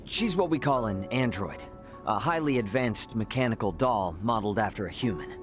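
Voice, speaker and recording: An actor playing a plain-spoken, matter-of-fact young spacefarer in a video game, casual and direct. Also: male voice, lowish voice, expressive, moderate pace, music in the background, lightly acted. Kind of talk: video game character acting.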